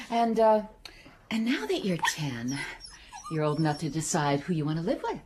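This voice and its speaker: A woman's voice: sits low, sing-song, normal speed, noisy background. An actress playing a volatile, brittle, hard-drinking American mother in a radio drama. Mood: brittle coaxing.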